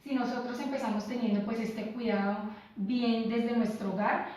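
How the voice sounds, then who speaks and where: A woman teacher with a slightly high voice speaks on a panel. She explains steadily and leans on frequent fillers. The sound is very echoey and distant, with faint background noise. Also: fairly even intonation, normal speed, a little mellow. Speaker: teacher, preschool education graduate. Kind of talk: academic panel discussion. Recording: echoey room, far from the mic.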